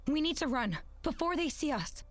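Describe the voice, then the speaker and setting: High-voiced, earnest teenage alien girl. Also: sing-song, moderate pace. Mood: alarmed. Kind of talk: animated video game acting.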